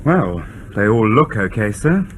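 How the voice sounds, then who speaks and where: A level-headed junior officer aboard a submarine. He is polite yet direct, with animated pitch. The radio drama recording carries a faint layer of noise. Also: a little slow. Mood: blunt, serious. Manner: polite but direct, matter-of-fact. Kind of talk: British radio drama acting.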